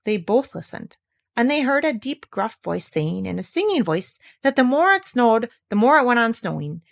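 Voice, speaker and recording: A woman narrating a children's storybook in an expressive read-aloud style. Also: a little high, normal speed, gravelly. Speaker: storybook reader.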